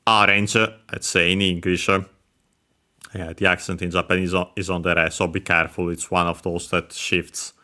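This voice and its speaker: A man explaining Japanese grammar, pausing often with filler sounds as he works through each word. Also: low voice, little pitch movement, sharp tone. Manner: hesitant, many filler sounds, careful explanation. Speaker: Japanese language teacher. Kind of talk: explaining grammar over gameplay.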